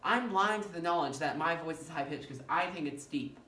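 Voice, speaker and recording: A male professor with a fairly high-pitched voice, giving an animated classroom lecture and often checking in with "okay?". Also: brisk. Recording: echoey room, mic far off, faint noise.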